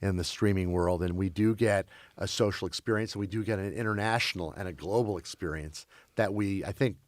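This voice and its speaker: A man who grew up in Belgium talks as an interview guest in a loose, conversational way. He stumbles and restarts often, circling back over his words in a lowish voice. The recording is dry, with almost no room sound. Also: a little fast, no room sound. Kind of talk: casual conversation.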